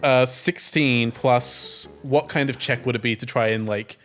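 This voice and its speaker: Excitable man playing a tabletop game. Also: medium pitch, measured pace, soft background music. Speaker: player in an improvised tabletop game. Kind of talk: casual comic improvised roleplay.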